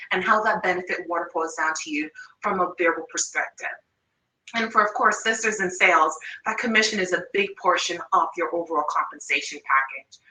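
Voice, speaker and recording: A woman's voice: on the low side, pushed and bright, echoey room, recorded from a distance, a little hiss or hum, rough recording. A woman in her thirties from Jamaica with a light Jamaican accent, giving an upbeat online workshop presentation on pay. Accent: Jamaican, with Toronto and New York influence. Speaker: workshop presenter, finance manager.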